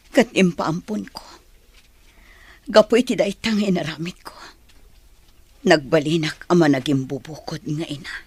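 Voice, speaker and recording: A woman as a husky, remorseful mother. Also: low-pitched, darkish tone, heavily acted. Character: guarded, remorseful single mother. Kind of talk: Ilocano radio drama acting.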